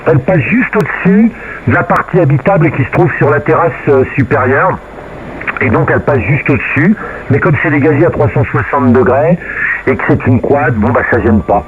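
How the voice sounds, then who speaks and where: A man's voice: a little high, animated, normal speed. Man on a narrow, noisy radio link. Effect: radio transmission. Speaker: amateur radio operator. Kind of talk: casual radio chat. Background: faint radio noise.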